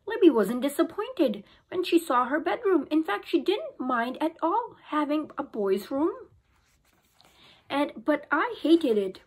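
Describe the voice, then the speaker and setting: A young woman teacher reading a children's book aloud in an expressive storytelling manner, recorded close on a phone in a small room at home. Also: high-pitched, a little slow. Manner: expressive storytelling, occasional restarts. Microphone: phone camera held close.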